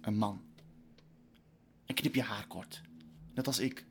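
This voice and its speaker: An actor playing an earnest middle-aged father who is leaving to fight in a war, speaking haltingly and solemnly in an audio drama. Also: fairly low pitch, creaky, slight background noise. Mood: solemn, resolute. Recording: loud music bed under voice.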